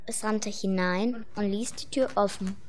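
A woman's voice: medium pitch, steady tone, measured pace, a little mellow, a little hiss or hum. A woman narrating a scripted fairy tale as the storyteller of a children's radio play.

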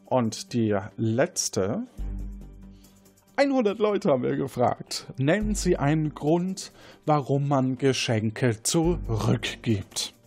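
A man's voice: medium pitch, very animated, slow pace, darkish tone, faint music behind. A man hosting a quiz, moderating a game show and explaining questions and points.

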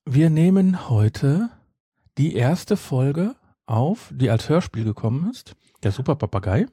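A man's voice: fairly high pitch, sing-song, a little slow, warm tone, slightly rough, no echo, clean studio sound. A man, chatting casually as a podcast co-host. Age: 50s. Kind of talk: casual chat about audio dramas.

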